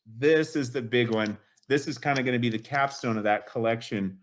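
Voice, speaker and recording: A man's voice: medium pitch, moderate pace. Guy in his late 50s gushing about his collection, rambles, lots of "you know", pretty average voice. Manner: enthusiastic, rambling, many fillers like "you know". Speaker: guest collector. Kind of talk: casual interview chat.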